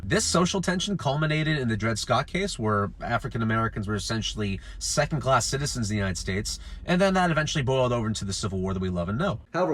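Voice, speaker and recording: A young man from Vancouver Island with a Pacific Northwest accent, telling history casually with wry asides. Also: medium pitch. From Vancouver Island, Canada. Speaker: history video host. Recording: roomy echo.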